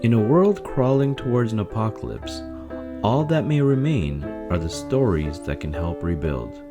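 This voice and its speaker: A man announcing the opening of a story series in a slow, deliberate, scripted way. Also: lowish voice, animated. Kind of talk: scripted show intro. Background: guitar music.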